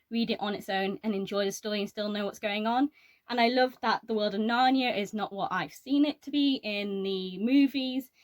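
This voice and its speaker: A young woman giving her opinions on books in a casual, explanatory chat to camera. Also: on the high side. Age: young adult. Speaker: book reviewer.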